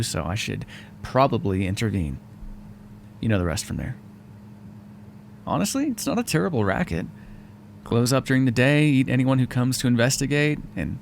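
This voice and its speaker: A man's voice: mid-range voice, vocal fry, slight background noise. A man voicing a sarcastic, foul-mouthed vampire who is grudgingly helpful and hungry, speaking intimately to the listener in an audio roleplay. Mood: wry, sardonic, then grudging, dry. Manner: sarcastic, wry, exasperated, conversational. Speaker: roleplay voice actor.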